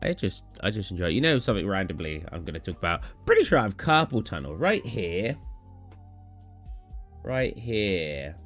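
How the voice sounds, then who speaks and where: A man's voice: deep voice, big pitch swings, normal speed, fairly bright tone, soft background music. A young man from England, chatting casually on a live stream and answering chat questions in a tired, rambling way. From southern England. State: tired, low energy.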